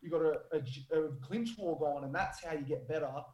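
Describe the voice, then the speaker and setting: A man who coaches fighters at a gym, talking casually in a halting way with plenty of fillers, his voice croaky and hoarse. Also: a little high, dark tone, lo-fi sound, a little hiss or hum. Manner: halting, lots of fillers and restarts. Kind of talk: casual podcast chat. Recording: roomy echo.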